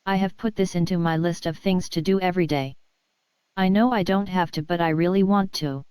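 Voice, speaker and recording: A woman voicing a polite, eager young Japanese student in an animated practice self-introduction. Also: on the low side, brisk, close to an everyday voice. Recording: very noisy clip with clicks, distant.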